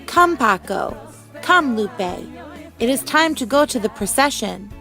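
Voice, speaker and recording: A woman's voice: high voice, normal speed, pitched above the performer's own voice. Lady reader doing a kindly village neighbor woman from a storybook, emphatic and concerned, bit of music behind. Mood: calling out.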